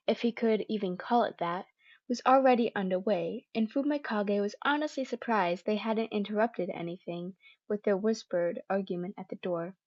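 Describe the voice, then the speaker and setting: A woman's voice: medium pitch, a little mellow, lo-fi sound. A young woman reading fan fiction aloud at home, chatty and casual, with frequent fillers and self-corrections. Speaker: podfic reader.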